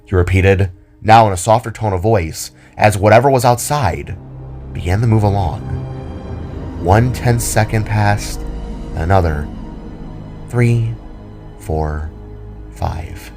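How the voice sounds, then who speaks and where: A man's voice: lowish voice, slow, long pauses. A man giving dramatic scripted narration as the storyteller of an audio drama. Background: background music.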